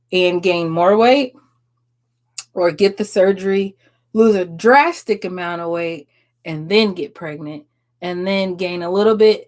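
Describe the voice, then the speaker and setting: A woman's voice: fairly low pitch, darkish tone. A woman, chatting frankly and casually on a live stream, swearing and laughing often. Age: 30s. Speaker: livestream host answering viewers.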